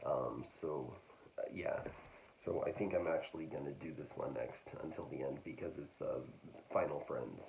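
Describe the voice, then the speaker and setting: A man casually talking through a book haul, hesitant and full of fillers, his voice getting raspy. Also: very deep voice, moderate pace, darkish tone, slight background noise, poor audio. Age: adult. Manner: hesitant, full of ums and restarts. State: getting raspy, clearing throat. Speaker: book vlogger.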